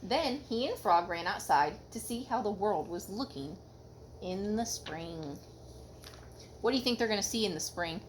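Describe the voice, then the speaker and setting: A woman reads a children's story aloud as a mother would, with casual asides and questions to her kids. Her voice is medium in pitch and very lively in melody. The home recording carries some background noise. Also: moderate pace, poor audio. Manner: expressive, asking the children questions.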